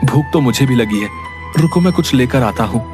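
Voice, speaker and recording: A man's voice: mid-range voice, lively intonation, fairly quick, natural voice. An actor playing a poor, hungry, humble father in a cartoon story. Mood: resolute. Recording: music behind the voice.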